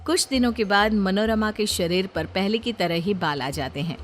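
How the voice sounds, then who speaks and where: A woman's voice: mid-range voice, moderate pace, faint music behind. A woman narrator reading a scripted moral story.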